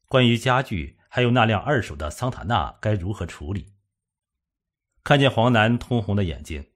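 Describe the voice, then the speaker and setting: A man's voice: fairly low pitch, slightly rough. A man narrating a suspense novel from a script, as an audiobook reader.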